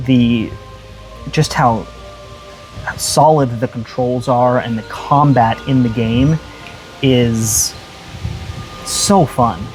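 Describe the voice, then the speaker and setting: A man with a mid-pitched voice talks as co-host of a game review. His delivery is earnest and emphatic, circling back to phrases for weight, with faint music behind him. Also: slow, pauses often, poor audio. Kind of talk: conversational review.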